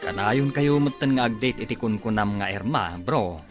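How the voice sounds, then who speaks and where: Man acting lowish-voiced skeptical buddy. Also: normal speed, music in the background, close to an everyday voice. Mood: doubtful, advising. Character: skeptical male pal, level-headed and cautioning. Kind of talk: Ilocano radio drama acting.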